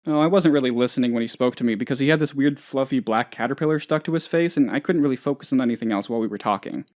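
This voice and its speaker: An actor playing a naive, anxious, scatterbrained office boss who rambles and gets sidetracked, in a video game. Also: male voice, medium pitch, rapid-fire, a little mellow, lightly acted. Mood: rambling, earnest. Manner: rambling, absent-minded, easily sidetracked. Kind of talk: video game character voice lines.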